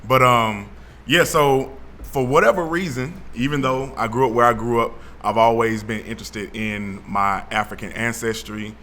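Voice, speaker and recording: A young man from Atlanta, telling his story as an interview guest in a relaxed way with plenty of fillers. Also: medium pitch, normal speed, a little hiss or hum. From Atlanta, Georgia. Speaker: guest, founder of an initiative. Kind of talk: casual interview talk.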